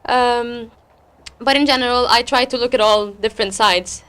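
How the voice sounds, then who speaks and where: A woman's voice: a little high, moderate pace, fairly bright tone, clear, resonant tone. A woman who is an interview guest and poet, speaking expressively and repeating words for weight. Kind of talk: interview talk and spoken-word poetry recital.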